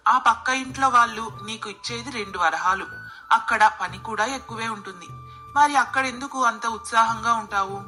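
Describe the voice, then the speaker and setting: Woman playing a haughty, bright-voiced rich housewife. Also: fairly high pitch, normal speed, soft background music. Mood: reproachful. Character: haughty, jealous rich housewife, scornful and bossy. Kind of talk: acted dialogue in a moral bedtime story.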